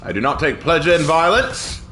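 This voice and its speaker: Man doing a hammy posh British toff for a game stream: bright, high, lively, put-on accent, snobbish and foul-mouthed. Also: moderate pace, a little hiss or hum. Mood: gruff boastful. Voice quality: hammy, swearing, self-mocking. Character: pompous, snobbish, violent old-school British gentleman. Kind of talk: live gameplay commentary in character.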